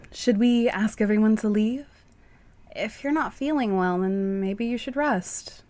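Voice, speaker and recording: A woman playing a concerned, gentle wife in a radio drama, speaking in a solicitous, soothing way. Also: medium pitch, slow, dry recording, lightly acted.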